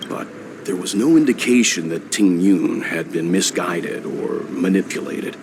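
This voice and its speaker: An actor voicing a calm, wise older mentor in a game, speaking in a measured, grave way. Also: male voice, low-pitched. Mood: thoughtful. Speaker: game character. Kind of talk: game dialogue voice acting.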